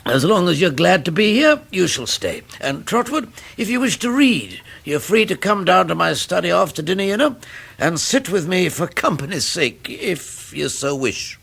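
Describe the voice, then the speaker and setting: An actor playing a kindly older gentleman lawyer in a radio drama, affable and hesitant, murmuring as he goes. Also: high voice, sing-song, normal speed, creaky, breathy edge, heavily acted. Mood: kindly, wavering.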